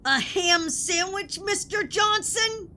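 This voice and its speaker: A woman's voice: very high-pitched, takes it slowly, bright, forceful tone, a little hiss or hum. A woman playing a pompous, accusatory interrogator in a theatrical comedy sketch. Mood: mock-stern. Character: pompous, melodramatic interrogator grilling a picnicker. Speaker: comedy podcast sketch performer.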